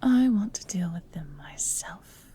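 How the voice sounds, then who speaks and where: A woman playing a cold, menacing evil noblewoman in a fantasy audio drama, imperious, commanding and threatening in her deliberate delivery. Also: lowish voice, animated, mellow, husky, full character voice. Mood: quietly threatening.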